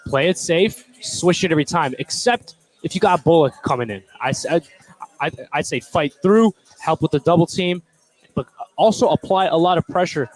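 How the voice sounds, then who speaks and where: A man's voice: fairly high pitch, normal speed, faint music behind, poor audio. A man doing casual color commentary on a live basketball game, analyzing plays in an informal, conversational way. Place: courtside in a school gym.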